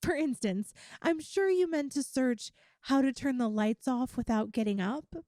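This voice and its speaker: A woman playing a doting, possessive young yandere girlfriend in an ASMR-style roleplay, speaking to the listener in a cutesy, coaxing, teasing way with drawn-out emphasis. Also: high voice, darkish tone, dry recording, studio quality, natural voice. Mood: amused, admiring.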